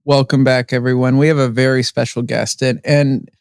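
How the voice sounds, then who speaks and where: A man's voice: medium pitch, flat delivery, vocal fry, darkish tone, clean tone, dry recording, studio quality. A man co-hosting a podcast interview, enthusiastic and chatty. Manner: enthusiastic, quick reactions. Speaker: podcast co-host, Scrum trainer. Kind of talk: casual interview chat.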